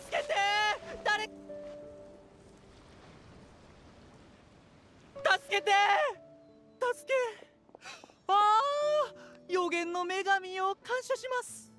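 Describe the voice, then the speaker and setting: A woman's voice: extremely high pitch, takes it slowly. A woman voicing a flustered, polite, enchanted floating character in a video game, fretful and formal, with music behind. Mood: panicked. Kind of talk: Japanese video game voice acting.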